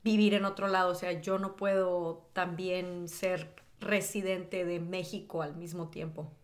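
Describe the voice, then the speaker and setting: A woman's voice: fairly low pitch, flat delivery, a little slow. A woman from northern Mexico with a northern Mexican accent, co-hosting a podcast in a plain, matter-of-fact way. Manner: matter-of-fact, corrects firmly. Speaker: podcast co-host. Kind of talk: casual chat.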